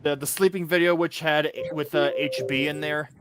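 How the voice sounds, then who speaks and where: A man's voice: fairly high pitch, steady tone, fairly bright tone, a little hiss or hum. A twenty-two-year-old man hosting a stream, rambling with fillers and restarts. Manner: rambling, halting, full of fillers. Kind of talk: casual stream chat.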